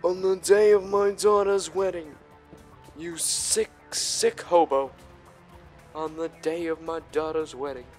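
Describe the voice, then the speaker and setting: Male gamer, higher-pitched voice, slow delivery, theatrical and sweary rambling commentary over clear game music. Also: fairly even intonation. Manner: foul-mouthed, theatrical, breaks into mock shouts. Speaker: host of a video game playthrough.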